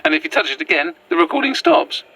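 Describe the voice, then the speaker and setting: A woman's voice: lowish voice, very animated, background noise. A woman playing an anxious, pragmatic fugitive in a naturalistic found-footage audio drama, speaking hesitantly and earnestly. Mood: friendly, amused. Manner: hesitant, halting, nervous.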